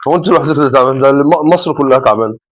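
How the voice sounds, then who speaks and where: A man's voice: mid-range voice, rapid-fire. A man with an Egyptian accent trading teasing, playful quips in a casual home vlog. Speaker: vlogger, husband co-host. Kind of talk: casual joking banter.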